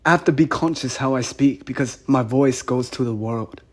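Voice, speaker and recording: A man's voice: mid-range voice, moderate pace. A man calling in, earnest and insistent, often repeating himself. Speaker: caller. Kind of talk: phone call.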